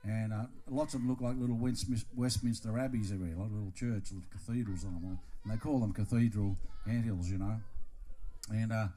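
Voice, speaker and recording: A man's voice: lowish voice, a little fast. A man from Northern Victoria with an Australian accent, a singer-songwriter telling rambling stories with broad slang between songs at a live show. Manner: relaxed rambling yarn-spinner, broad slang. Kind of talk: storytelling between songs at a concert. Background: guitar playing.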